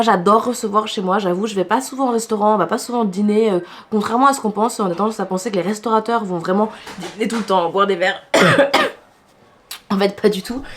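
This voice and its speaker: A woman's voice: mid-range voice, slight background noise. Chatty French woman vlogging at home. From France. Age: 30s. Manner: chatty, laughing, many fillers. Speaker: vlogger and chef. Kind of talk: casual vlog chat.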